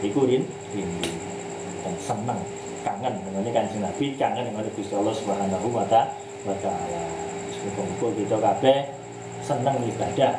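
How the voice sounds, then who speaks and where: A man's voice: fairly low pitch, normal speed, echoey room, heavy background noise. A man leading a religious book study, mixing in words and posing rhetorical questions. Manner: mixes in Javanese words, asks himself rhetorical questions; restarts words and draws out key phrases.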